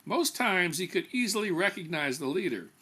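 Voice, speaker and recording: A man reading his own comic fiction aloud as an author, with animated, emphatic storytelling. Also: sits high, moderate pace, slightly rough. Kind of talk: expressive scripted narration of comic fiction.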